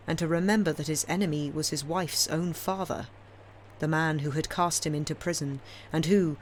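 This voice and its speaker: A woman reading classic fiction aloud as an audiobook narrator, in a measured, expressive, dramatic storytelling style. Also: low voice, a little hiss or hum. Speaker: audiobook reader.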